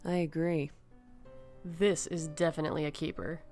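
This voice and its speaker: Easily pleased young woman played by an actress, low dark voice, horror audio drama. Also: little pitch movement, normal speed, soft background music, natural voice. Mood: curious, pleased. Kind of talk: audio horror story acting.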